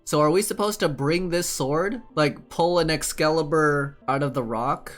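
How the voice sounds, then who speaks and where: A man's voice: fairly high pitch, moderate pace, fairly bright tone. A young man giving casual, slangy playthrough commentary as a game streamer, rambling and thinking aloud. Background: game music.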